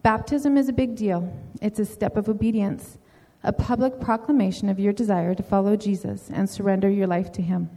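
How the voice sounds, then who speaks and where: A woman speaks earnest, encouraging words and reads a prayer aloud. Her mellow voice carries a long reverb, as if in a big hall. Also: medium pitch, fairly even intonation, normal speed, few pauses. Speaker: mentor addressing a newly baptized woman. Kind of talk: encouraging message and read-out prayer.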